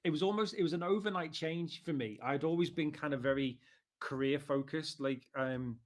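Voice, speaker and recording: A man's voice: on the high side, moderate pace. Chatty man with a Liverpool accent. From Liverpool, England. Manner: chatty and rambling, with many fillers. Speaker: interview guest. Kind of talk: casual interview chat.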